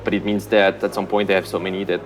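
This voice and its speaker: A man with a fairly low voice answers questions casually, pausing often with ums and uhs. He sounds relaxed and easygoing and drops in a bit of dry, self-mocking humor. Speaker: senior game producer.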